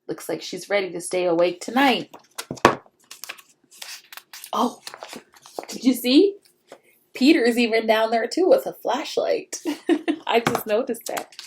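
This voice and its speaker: A woman hosting a children's storybook read-aloud in an expressive, chatty way, often asking listeners questions. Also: fairly high pitch, slow pace, long pauses, noisy background.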